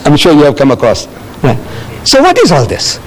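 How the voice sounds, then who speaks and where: Lively, high-voiced older man lecturing. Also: fairly quick, rough recording. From South Africa. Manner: animated, storytelling with acted-out dialogue. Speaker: Islamic lecturer answering audience questions. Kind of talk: lecture Q&A with audience.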